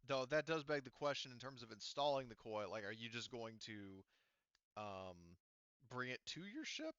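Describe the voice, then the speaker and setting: A man working as game master, talking casually and wordily, thinking aloud and halting as he narrates a tabletop game. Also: mid-range voice, very animated, a bit bright, clear, resonant tone, no room sound, muffled recording.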